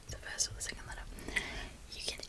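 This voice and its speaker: An American woman speaking in a soft, breathy ASMR whisper, lingering over and repeating her words. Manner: slow, lingering repetition of words. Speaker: ASMR video creator.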